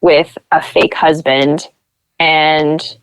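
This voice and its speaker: A woman's voice: low-pitched, flat delivery, drawn-out words, clean tone, no echo, low-quality recording. A young woman from Nebraska, a podcast guest, telling stories in casual chat and acting out what people said. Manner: chatty storyteller who acts out quoted voices.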